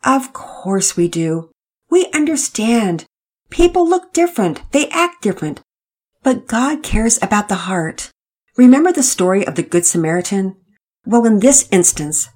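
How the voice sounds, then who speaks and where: A woman voicing a gentle mother who speaks patiently and reassuringly. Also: medium pitch, expressive, a little slow, slightly rough. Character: gentle caring foster mother.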